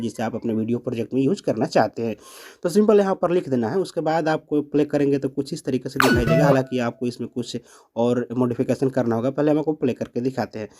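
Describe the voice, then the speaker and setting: A man's voice: medium pitch, dark tone. A man hosting a step-by-step app tutorial, explaining instructively to viewers. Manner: instructional, addressing viewers as friends. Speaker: tutorial host.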